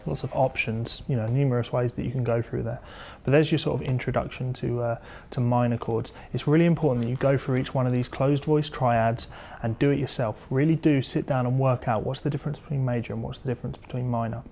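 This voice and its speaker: A man's voice: mid-range voice, fairly even intonation, fast talker, gravelly, darkish tone, a little hiss or hum. A man giving an informal, conversational guitar lesson, explaining things as a teacher would to a student. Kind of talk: informal instructional talk with demonstrations.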